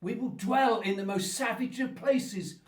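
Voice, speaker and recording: A lonely brooding monster in a radio drama, really slow n grand, echoey room. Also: male voice, extremely high pitch. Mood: solemn, demanding. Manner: slow, grandiloquent, pleading and menacing delivery. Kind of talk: theatrical radio play acting. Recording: roomy echo, distant from the mic.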